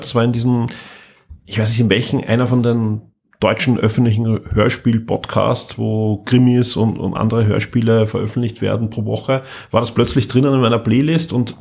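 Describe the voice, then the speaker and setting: Austrian male podcast host, slightly rough husky voice, conversational moderating and reviewing. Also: medium pitch, darkish tone. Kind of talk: casual moderating and review chat.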